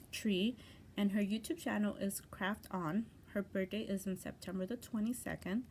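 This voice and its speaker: A woman's voice: medium pitch, mellow, slight background noise. Chatty crafter woman with a tiring voice. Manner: gushing, chatty, restarts often. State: voice going hoarse, coughing. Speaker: crafting channel host. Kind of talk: casual craft mail unboxing.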